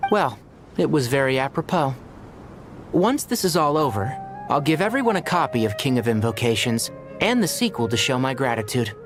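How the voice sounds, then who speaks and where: Man playing an animated, courteous young man. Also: medium pitch, normal speed, over music, natural voice. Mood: grateful, eager. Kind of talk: video game character dialogue.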